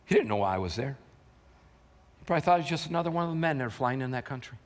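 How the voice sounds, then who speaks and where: A middle-aged male pastor preaching a sermon as a story, shifting from loud impassioned shouting to quiet hushed telling. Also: mid-range voice, expressive, racing. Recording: long hall reverb.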